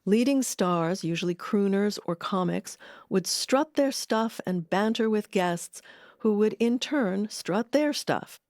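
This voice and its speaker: A woman's voice: mid-range voice, expressive, slow pace, darkish tone, no echo, clean studio sound. A woman reading scripted documentary narration with wry personal asides.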